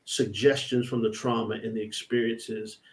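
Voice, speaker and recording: A man's voice: on the low side, steady tone, normal speed. Earnest man speaking as a reverend. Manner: earnest and searching, repeats words as he gathers thoughts. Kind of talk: conversational spiritual reflection.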